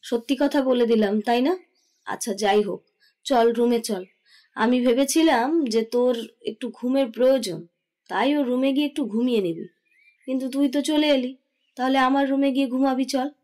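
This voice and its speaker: Woman acting middle aged mom-in-law, motherly n teasing, high voice, audio love story. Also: normal speed, a little mellow, close to an everyday voice. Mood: fond, coaxing.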